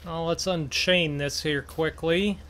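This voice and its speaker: Slow-paced man over engine noise. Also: a little high, fairly even intonation, a bit bright. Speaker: gaming video host. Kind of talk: casual role-play gameplay commentary. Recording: engine and vehicle noise behind. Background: game vehicle engine sounds.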